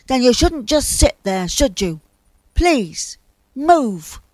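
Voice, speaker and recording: A woman's voice: fairly high pitch, lively intonation, takes it slowly, darkish tone, no echo, pitched above the performer's own voice. A woman voicing a grumpy male bear in a children's story, with a blunt, emphatic delivery. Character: grumpy male bear who likes his comforts.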